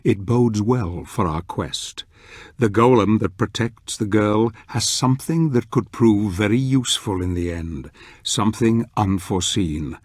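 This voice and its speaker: Brooding storyteller, very low pitch, portentous delivery, dark fantasy game, dry close sound. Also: male voice, husky, dry recording. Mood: grave, foreboding. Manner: portentous, measured, theatrical. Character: grave older male narrator, brooding storyteller of a dark fantasy. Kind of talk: scripted dramatic narration.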